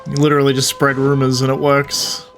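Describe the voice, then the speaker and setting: A man's voice: mid-range voice, completely flat delivery, brisk, a bit bright, soft background music. A man giving casual commentary as he plays a video game. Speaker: gamer commenting on a playthrough.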